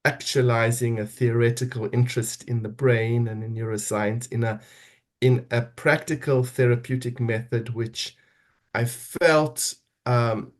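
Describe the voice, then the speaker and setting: A man's voice: medium pitch, steady tone, a little slow. A middle-aged man from South Africa with a South African accent, a podcast guest speaking reflectively and often restarting as he searches for words. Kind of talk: reflective interview talk.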